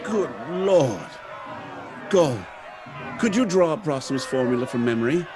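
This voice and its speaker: A man's voice: on the high side, lively intonation, unhurried, over music, crowd noise. A man playing a troubled, grave factory director and husband in a dramatic 1930s-style radio play. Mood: shocked despair.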